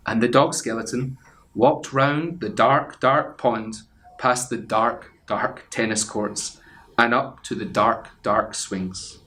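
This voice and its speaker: Slow, theatrical man reading a children's story. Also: mid-range voice, a bit bright. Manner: theatrical, suspenseful repetition with drawn-out phrases. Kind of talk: expressive children's picture-book read-aloud. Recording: clear background noise.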